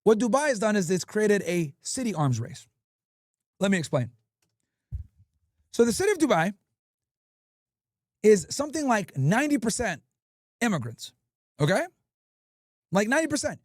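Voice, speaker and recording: A man's voice: sits high, normal speed, long pauses, dry recording, studio quality. A male livestream host giving brash, profane commentary and telling stories with lots of swearing. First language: English. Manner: brash, profane, animated storytelling.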